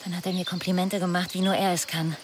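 Woman acting a low-voiced, smitten confidante, crickets behind. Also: little pitch movement, fast talker, slight background noise, natural voice. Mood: dreamy, confiding. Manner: confiding, unsure. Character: jilted, easily swayed, smitten woman confiding. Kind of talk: radio drama dialogue.

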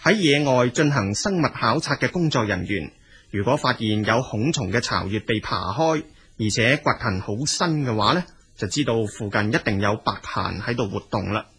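Very husky Cantonese male storyteller. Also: medium pitch, lively intonation, normal speed, a bit bright. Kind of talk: storytelling narration with colloquial asides.

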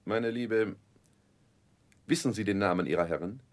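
A man's voice: fairly low pitch, normal speed, lightly acted. An actor playing a cruel, domineering stepfather in a 1960s German radio drama, cold, menacing and commanding. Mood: cold, controlled.

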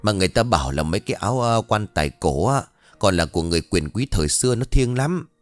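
A man's voice: on the low side, expressive, no room sound, soft background music, natural voice. A man doing a blunt, gossipy old fishmonger woman. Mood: gossiping. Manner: brash, scolding market talk, quick and rough.

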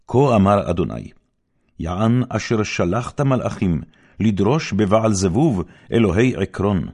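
A man with a low voice reads holy scripture aloud as an audiobook narrator. His delivery is solemn and measured, with wide swings of intonation that give key phrases declamatory weight. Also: darkish tone, husky. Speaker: audiobook Bible reader.

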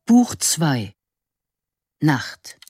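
A woman's voice: low voice, sing-song, drawn-out words, warm tone. A woman making scripted announcements of headings in a radio drama. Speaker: announcer of chapters and log dates.

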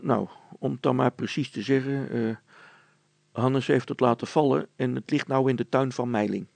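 Man playing a gravelly, practical storybook father. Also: medium pitch, fairly even intonation, normal speed, a little mellow, dry recording. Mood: sheepish. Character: practical, gruff middle-aged father and tinkerer.

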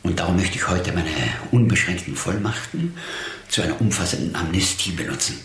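An actor playing a dignified, eccentric English gentleman in a German radio drama, speaking formally and ceremoniously. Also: sits low, brisk, creaky, slight background noise. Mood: solemn, magnanimous. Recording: roomy, echoing interior.

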